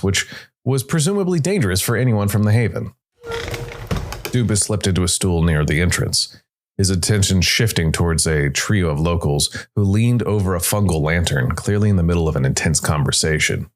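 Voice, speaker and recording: A man's voice: low-pitched, animated, moderate pace. A man hosting a story podcast, reading scripted narration. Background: music.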